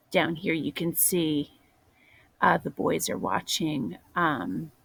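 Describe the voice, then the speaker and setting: A woman reading a children's picture book aloud in a deliberate way, as a teacher does for young listeners. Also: deep voice, creaky, fairly bright tone, slightly rough. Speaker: storybook reader, teacher.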